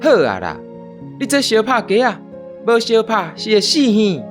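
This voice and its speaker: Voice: medium pitch, huge pitch swings, normal speed, soft background music. A performer playing a blunt, teasing and sociable companion in a radio drama.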